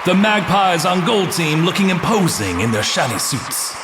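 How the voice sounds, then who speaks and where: A man playing an excitable arena announcer in a cartoon, calling play-by-play sports commentary. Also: fairly high pitch, normal speed, background noise. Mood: brisk, tense.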